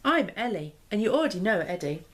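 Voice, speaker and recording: A woman's voice: mid-range voice, very animated, brisk, fairly bright tone. A woman plays an eager little girl from a picture book, speaking earnestly. The delivery is simple and cheerful. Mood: friendly.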